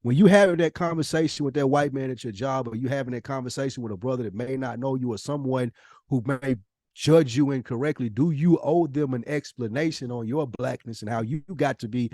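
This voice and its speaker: A man's voice: medium pitch, a little fast, darkish tone, no room sound, studio quality. A man co-hosting a casual podcast conversation, talking in an emphatic, probing way with plenty of slang. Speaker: podcast co-host.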